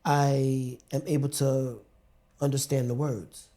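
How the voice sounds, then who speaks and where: Mellow, chatty male talk-show host. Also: medium pitch, little pitch movement, slow. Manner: colloquial, chatty, rambling questions. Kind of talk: casual interview chat.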